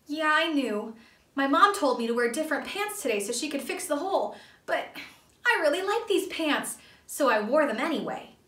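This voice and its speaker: A woman's voice: sits high, animated, moderate pace, pushed and bright, reverberant, recorded from a distance, voice pushed up. A woman voicing a sheepish young male vegetable paperboy in a storybook, reluctant and a little defensive.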